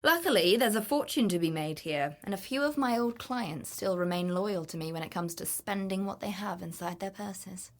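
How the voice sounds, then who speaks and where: Woman acting as roguish female treasure hunter, video game character, confident, wry, greedy for gold, mid-pitched. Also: normal speed, few pauses, natural voice. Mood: candid, wry.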